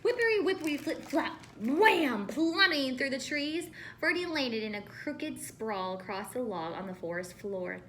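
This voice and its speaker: A woman reading a storybook aloud to children as a teacher, expressive and pausing to ask questions. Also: sits high, a little hiss or hum.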